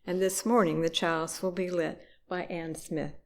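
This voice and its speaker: A woman's voice: fairly low pitch, level tone, moderate pace. A woman worship reader, reading aloud at a church service.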